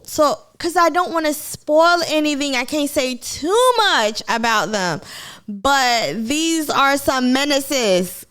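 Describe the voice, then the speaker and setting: A woman's voice: high-pitched, a bit bright, smooth. A woman from Kenya with a Kenyan accent, chatting casually to viewers on a live stream about a TV show. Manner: chatty, addresses viewers as y'all.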